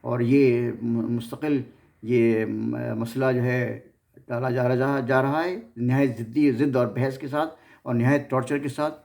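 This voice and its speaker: A man's voice: mid-range voice, fairly even intonation, unhurried, creaky, a little mellow. A man with a Pakistani accent hosting an informal history narration, often stumbling and repeating his words as he goes. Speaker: host of a history series.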